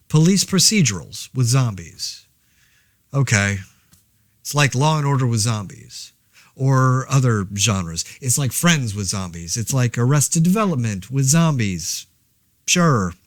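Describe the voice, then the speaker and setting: An actor playing a laid-back man podcasting alone through a zombie outbreak, rambling in a wry, deadpan, hesitant way. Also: mid-range voice, no echo, clean studio sound, close to an everyday voice. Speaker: podcast host. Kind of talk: casual monologue, fictional audio diary.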